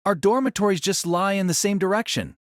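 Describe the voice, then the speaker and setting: A woman's voice: medium pitch, lively intonation, a little fast, dry recording, studio quality, lightly acted. A woman voicing a flustered teenage boy who keeps dodging questions with defensive denials.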